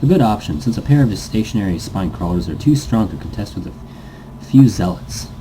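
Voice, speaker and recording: A man's voice: fairly low pitch, animated, brisk, warm tone, a little hiss or hum, low-quality recording. A man reading visual novel narration aloud dramatically, stumbling now and then and rereading phrases. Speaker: reader, host of a let's read series.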